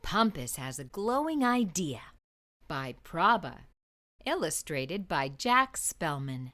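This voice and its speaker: Bright, lively woman reading a storybook. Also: sits low, takes it slowly. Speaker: children's story reader. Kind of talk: expressive read-aloud storybook narration.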